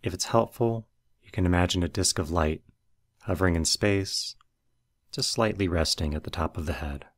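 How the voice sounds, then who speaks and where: A man leading a guided meditation as scripted narration, with measured, instructional delivery. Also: deep voice.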